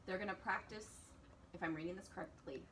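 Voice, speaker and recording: A woman's voice: on the low side, fast talker, a bit bright, slight background noise. A woman teacher in a work meeting, carefully checking her reading of the plan.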